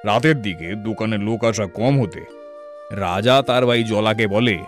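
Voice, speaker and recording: A man's voice: on the low side, moderate pace. A man narrating a dramatised cartoon story as its storyteller. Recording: faint music behind the voice.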